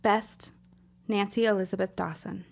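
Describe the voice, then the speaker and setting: A woman's voice: medium pitch, steady tone, normal speed, creaky, a little mellow. An actress playing an earnest, wounded young woman reciting a solemn letter in formal, literary style for a period audio drama. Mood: grave warning. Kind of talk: scripted period drama monologue.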